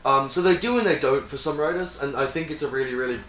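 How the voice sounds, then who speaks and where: A man's voice: mid-range voice, fast talker, a bit bright. A man giving casual fan commentary as he hosts a ranking among friends. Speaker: host presenting a ranking. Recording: echoey room, distant mic, faint noise.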